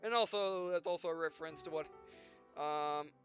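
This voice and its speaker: High-pitched man reading a game aloud, muffled audio. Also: a bit bright. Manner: halting, reads punctuation and speaker names aloud. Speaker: let's player reading visual novel text aloud. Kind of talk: casual gameplay read-aloud with asides. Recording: dull, lo-fi sound with music behind. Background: game music.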